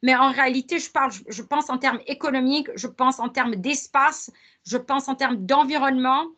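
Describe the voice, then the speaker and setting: Bright-voiced woman. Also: high-pitched, moderate pace. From Lebanon. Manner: earnest, explanatory, many fillers and restarts. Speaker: guest academic. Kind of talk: conversational interview.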